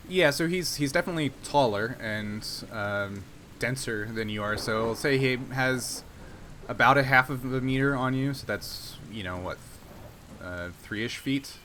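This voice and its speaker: A man narrating a tabletop game as game master, in a halting, hesitant manner. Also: medium pitch, pushed and bright, slight background noise.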